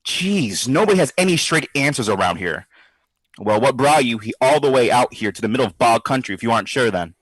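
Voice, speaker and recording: An actor playing a brash, sardonic skeptic who talks in slangy, theatrical quips in an audio drama diary. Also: male voice, medium pitch, animated, a little fast, fairly bright tone, breathy edge, dry recording, rough recording. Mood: cocky, prodding. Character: brash wisecracking skeptical man, boozy ghost-study participant.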